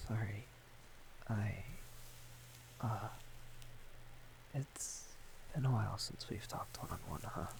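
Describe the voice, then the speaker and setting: A man playing a shy, tender, awkward young man, a friend's older brother, in an intimate ASMR roleplay, speaking softly and hesitantly with a stammer. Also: fairly low pitch, animated, very slow, vocal fry, long pauses, noisy background, heavily acted. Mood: gentle, awkward. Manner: hesitant, stammering, gently teasing.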